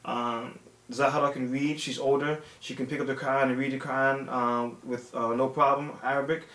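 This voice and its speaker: A man in his thirties, a father and religious teacher, gives an informal talk to viewers with many pauses, fillers and restarts. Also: mid-range voice, flat delivery, a bit bright. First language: English. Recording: echoey room.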